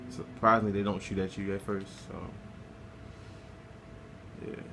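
A man's voice: low voice, flat delivery, measured pace, vocal fry, background noise. A man casually talking through a game walkthrough, laid-back and swearing freely as he plays. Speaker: gameplay guide narrator.

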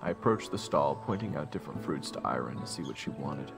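A man's voice: rumbling low, animated, quick pace, music in the background. A man playing a young fantasy hero who narrates his adventure reflectively in the first person. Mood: descriptive.

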